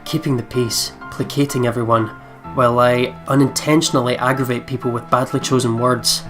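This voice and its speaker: Guy hosting a lets play, dramatic story reading, chatty, mid pitched, bit of music behind. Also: fairly even intonation, brisk. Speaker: let's play host. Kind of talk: dramatic reading of visual novel narration with casual chat.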